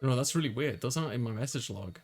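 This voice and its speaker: A man's voice: medium pitch, fast talker. A man reading a visual novel aloud as a let's player, narrating and chatting casually, with game music behind him.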